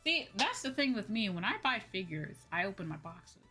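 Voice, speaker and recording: Bright-voiced young woman streamer. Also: medium pitch, big pitch swings, a little fast, slightly rough. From United States. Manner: slangy, chatty, exclamatory. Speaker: VTuber livestreamer. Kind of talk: casual livestream chat. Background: background music.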